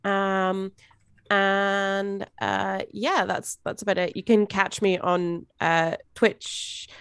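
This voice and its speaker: A woman's voice: medium pitch, flat delivery, slow pace, fairly bright tone, smooth, no echo. A Scottish woman, a streamer, making dry, matter-of-fact jokes in casual chat on a home mic. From Scotland. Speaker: streamer and VTuber.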